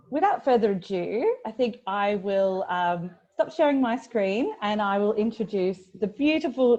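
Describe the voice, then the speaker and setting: Woman hosting a webinar, warm mellow tone, friendly, lots of ums, dull lo-fi video call sound. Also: medium pitch. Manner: friendly, many fillers. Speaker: webinar host. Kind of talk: webinar presentation. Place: office in the United Kingdom.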